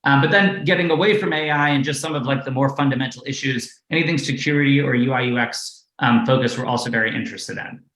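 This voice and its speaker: A man's voice: medium pitch, fast talker, hardly any pauses, echoey room, poor audio. A man being interviewed as a guest investor, talking casually with many fillers and tech jargon. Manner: filler-heavy, relaxed, jargon-laden.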